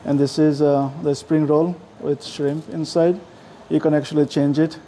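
A middle-aged man talks on a handheld camera as he tours restaurants and streets, his voice pitched a little high and held in a flat, even sing-song. Clear background noise of traffic and rooms sits under his friendly, repetitive praise of the food. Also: moderate pace. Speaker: travel vlogger. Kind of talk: casual walk-and-talk food vlog. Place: restaurants and streets, indoors and outdoors. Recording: noisy handheld camera audio.

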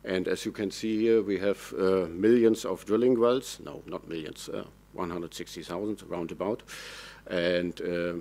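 A man's voice: sits low, darkish tone. A man, a native German speaker, presenting at a conference lectern with fillers and self-corrections. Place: large dark conference hall.

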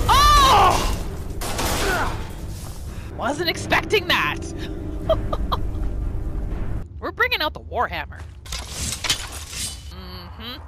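A woman giving excitable playthrough commentary, exclaiming and talking to the game's characters as she roleplays. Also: sits high. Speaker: gaming video host. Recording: game music behind the voice. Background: video game music and sounds.

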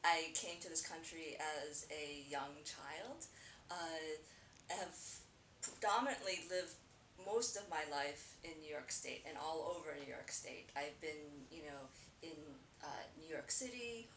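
A woman's voice: deep voice, expressive, measured pace, a bit bright. A middle-aged woman who grew up from early childhood in the United States after moving from Korea, chatting as a podcast guest with many hesitant fillers. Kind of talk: casual interview. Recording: roomy, distant mic with noise.

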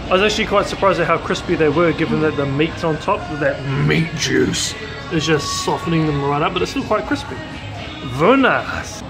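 A young man with a New Zealand accent talks through a diner meal in a relaxed, chatty way, often with his mouth full, his voice sitting a little high for a man. The sound is picked up by a camera on the table in a diner booth, with loud music playing behind him. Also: fairly quick. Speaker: food vlogger. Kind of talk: casual food review chat. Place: diner booth, indoors, then parked car.

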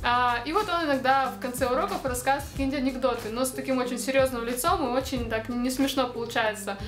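A woman's voice: sits high, fast, a bit bright. A young woman, chatting casually to camera in a rambling way, full of fillers and light laughs. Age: young adult. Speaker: vlogger. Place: dark indoor room. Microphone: camera close to face. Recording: echoey room with music behind.

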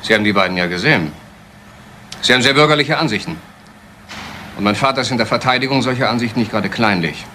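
A man's voice: medium pitch, fast, pauses often, sharp tone, slight background noise, close to an everyday voice. A male actor playing a bitter, sardonic adult son in a German crime radio play, mocking and insinuating. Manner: mocking, insinuating, with rhetorical questions.

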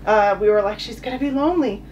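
A woman's voice: mid-range voice, fast talker, darkish tone, highs cut off. A woman in her thirties from Arizona and Mexico, a full-time RVer chatting cheerfully as an interview guest and laughing often. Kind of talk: casual interview chat. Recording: distant mic, background hum.

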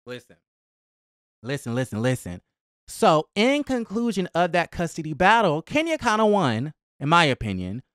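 Clear-voiced young man, sassy gossip commentary. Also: on the high side, sing-song, normal speed, fairly bright tone, no echo, studio quality. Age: young adult. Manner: sassy, emphatic, slangy. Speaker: host of a celebrity gossip commentary channel. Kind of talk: casual conversational commentary. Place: small indoor room at home. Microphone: close boom-arm podcast microphone.

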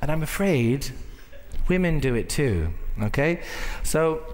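A man in his thirties performing stand-up comedy on stage, telling stories in an animated way with pauses and asides. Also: on the high side. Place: large indoor convention stage. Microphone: headset. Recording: reverberant hall, clear background noise.

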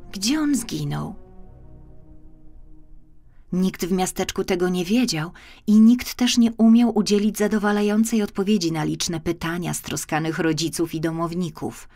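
Low-pitched woman narrating a children's tale. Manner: expressive, careful storytelling. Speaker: audiobook narrator. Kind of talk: scripted storybook narration for children.

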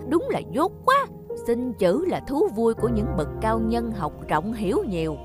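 A pompous, vain rich old man in a storybook cartoon, bragging and scolding in a raised, lively voice that leaps up when he loses his temper. Music plays clearly behind him. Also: on the high side, a little slow. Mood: boastful. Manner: boastful, scolding, bursts into shouting.

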